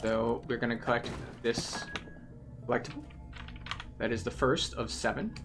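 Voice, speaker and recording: A man's voice: medium pitch, moderate pace, background noise. A man giving explanatory, methodical commentary as he guides listeners through a video game playthrough.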